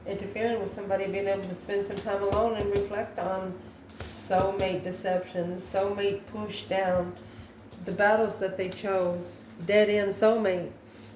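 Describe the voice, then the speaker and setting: A woman's voice: medium pitch, level tone, a little slow. A woman giving an improvised tarot reading, musing aloud and often asking questions that she then answers herself. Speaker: tarot reader. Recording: roomy echo, faint background noise.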